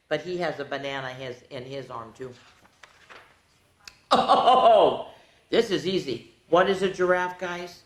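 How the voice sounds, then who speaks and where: A mature woman reads picture books aloud to young children in a roomy, echoing space. Her pace is slow, with key words drawn out. She is animated and often breaks off to speak straight to her young listeners. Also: fairly low pitch. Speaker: children's storytime host. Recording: roomy, echoey room sound.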